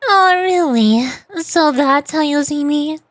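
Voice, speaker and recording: Woman as ancient girlish sage, video game: high voice, proud, teasing, sardonic, theatrical emphasis. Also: takes it slowly, soft background music. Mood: affronted.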